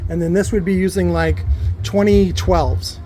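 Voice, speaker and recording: A man giving an informal technical explanation as an electronics builder, halting and often repeating words as he works through his point. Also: sits high, steady tone, mellow, slight background noise.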